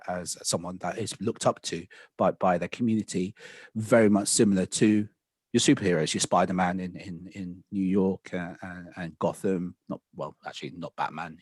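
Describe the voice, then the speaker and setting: Low, husky man in discussion. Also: dry recording, clean studio sound. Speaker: discussion panellist. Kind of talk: casual panel discussion.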